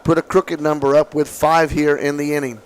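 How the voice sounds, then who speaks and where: A man calling softball play-by-play, his voice slightly raspy from allergies, with calm, measured calls. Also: fairly high pitch, rough recording. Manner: calm, measured calls, excited on big hits. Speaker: play-by-play announcer.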